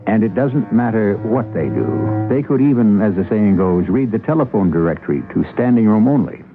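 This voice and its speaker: A man hosting and narrating a radio mystery with measured, suspenseful, dramatic scripted delivery. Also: on the low side, animated, over music. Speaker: host and narrator. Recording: dull lo-fi old radio recording.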